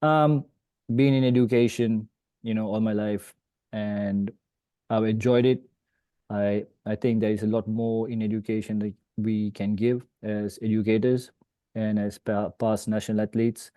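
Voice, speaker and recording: A man who grew up in Australia, introducing himself as a panellist in a halting way, repeating the openings of his phrases. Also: fairly low pitch, steady tone, warm tone, dry recording. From Australia, born in Sri Lanka. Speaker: panellist, swimming coach. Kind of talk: hesitant self-introduction on a panel. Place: online video meeting.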